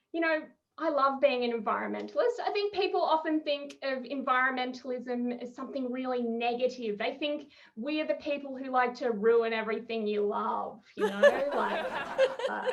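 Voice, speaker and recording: A thirty-year-old woman with an Australian accent performing wry, expressive stand-up over a headset on a video call, in muffled, noisy audio. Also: sits high, normal speed. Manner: expressive, wry, chuckling between phrases. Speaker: stand-up comedian. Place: small room at home.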